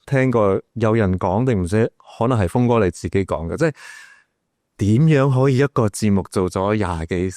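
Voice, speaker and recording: A man with a fairly low voice, recorded dry. He hosts a podcast interview in a casual, conversational way and is deferential toward his guest. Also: moderate pace, a little mellow, no room sound.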